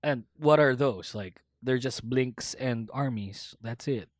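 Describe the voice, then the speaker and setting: A woman's voice: very deep voice, slow, no room sound, studio quality. A woman in her late twenties with a Filipino accent, hosting a casual podcast chat and rambling with many fillers and restarts. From Philippines. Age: 20s. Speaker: podcast host.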